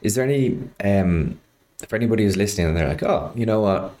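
A man hosts a casual podcast chat in a very low voice. He rambles through stories, pokes fun at himself, and fills his pauses with ums and likes. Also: expressive, warm tone. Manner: rambling, self-deprecating, many fillers. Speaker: podcast host.

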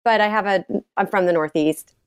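A woman's voice: medium pitch, brisk, clear, resonant tone, no echo, clean studio sound. A middle-aged woman from Texas answering interview questions in a casual, reflective conversational way. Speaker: interview guest, gun violence prevention advocate.

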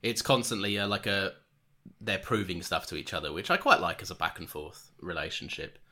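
A man chatting casually as a podcast co-host, his voice bright and forward, with lively swings in pitch. He laughs easily and slips into quick impressions of characters while he tells the story. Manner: laughing, animated storyteller who acts out lines. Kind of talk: casual chat.

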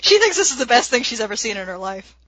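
A woman's voice: on the high side, big pitch swings, quick pace, sharp tone, no echo. A woman co-hosting a casual comic book discussion, speaking with emphatic, playful exaggeration. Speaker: podcast host.

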